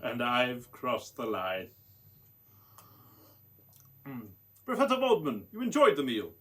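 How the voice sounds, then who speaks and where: A man's voice: mid-range voice, wildly swooping pitch, slow pace, fairly bright tone, full character voice. A man acting a haunted, formal scientist telling his tragic tale in a radio drama. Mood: genial, guarded. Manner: formal, brooding, theatrical.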